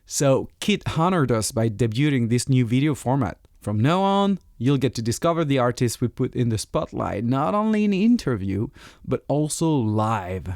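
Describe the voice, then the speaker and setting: A man with a French accent hosting a podcast, talking in an animated, chatty way with frequent restarts. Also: mid-range voice, moderate pace, no echo, studio quality. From France. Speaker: podcast host. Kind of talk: casual interview chat.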